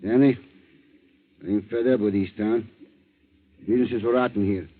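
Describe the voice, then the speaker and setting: A man's voice: on the low side, fairly even intonation, lightly acted. Man playing a sly fisherman, tinny old radio. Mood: slyly menacing. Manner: broken English, insinuating, drawn-out threats. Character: sly, wheedling fisherman blackmailer, falsely friendly. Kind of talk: 1940s radio acting. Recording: tinny, narrow-band 1940s radio recording.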